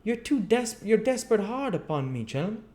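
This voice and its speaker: A man putting on a high-pitched, pleading, haggling tradesman. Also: sing-song, fairly quick, a little mellow. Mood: wheedling, pleading. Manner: wheedling, imploring. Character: pleading, haggling poor tradesman, wheedling and desperate. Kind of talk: storybook character voice.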